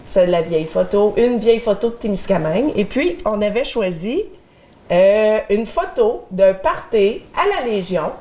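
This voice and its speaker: A woman's voice: mid-range voice, expressive, measured pace, darkish tone. A middle-aged woman with a Québécois French accent hosting a local community TV show, chatting and reading the news with fillers. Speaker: community TV host.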